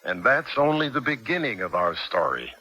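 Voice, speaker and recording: A man's voice: medium pitch, very animated, moderate pace, heavy background noise. An American man doing scripted narration as host of a radio drama. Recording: vintage radio broadcast over loud music.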